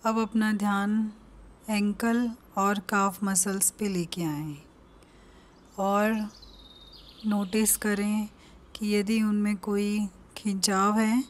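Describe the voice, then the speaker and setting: A woman with an Indian accent leading a guided meditation, giving calm, gentle instructions with long pauses between them. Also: medium pitch.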